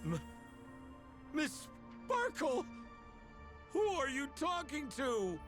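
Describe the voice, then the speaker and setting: An actor playing an excitable, slippery young male rogue in a video game, exclamatory and theatrical in his delivery. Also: extremely high pitch, extremely slow, heavily acted. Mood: indignant, sly. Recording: game music behind the voice. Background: game music.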